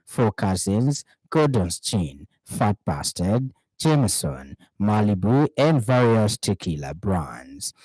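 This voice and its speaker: A man reading a scripted radio news bulletin in a steady, formal manner from a studio. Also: lowish voice, no echo, poor audio. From Zambia. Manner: steady formal newsreading, lists read item by item. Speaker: news anchor.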